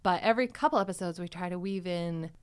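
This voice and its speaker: A woman hosting a podcast in a casual, chatty way, playful and quick to laugh. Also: fairly low pitch, clean tone. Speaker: podcast host and series creator.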